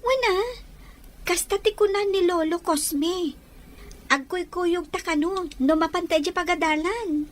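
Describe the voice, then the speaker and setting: A woman's voice: extremely high pitch, unhurried. A woman playing a coy, eager, lovestruck young student in a radio drama, chatty in manner. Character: coy, eager, lovestruck schoolgirl from a rich family.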